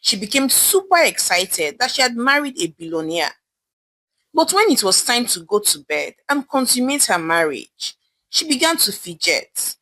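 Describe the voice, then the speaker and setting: A woman's voice: fairly high pitch, big pitch swings, moderate pace, sharp tone, rough recording. A woman with a Nigerian accent narrating a folktale dramatically as a storyteller.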